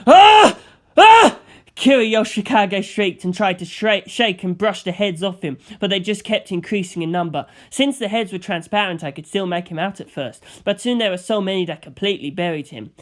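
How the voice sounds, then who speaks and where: Higher-pitched man narrating a story. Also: fairly quick, few pauses. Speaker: audiobook narrator. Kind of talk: scripted first-person story narration.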